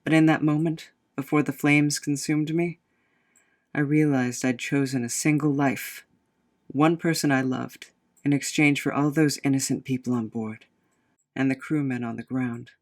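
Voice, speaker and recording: A man's voice: medium pitch, steady tone, normal speed, close to an everyday voice. A man playing a guilt-ridden man who recounts his tragic past in a brooding, remorseful dramatic monologue. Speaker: narrator of own backstory.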